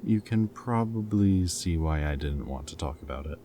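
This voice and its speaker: Very deep-voiced, earnest captured rebel played by a man. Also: animated, dark tone, a little hiss or hum. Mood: reluctant, confessional. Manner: hesitant, halting, casual and earnest. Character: goofy male rebel scientist, captured, caring and guilt-ridden. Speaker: audio roleplay performer. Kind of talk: intimate dramatic audio roleplay.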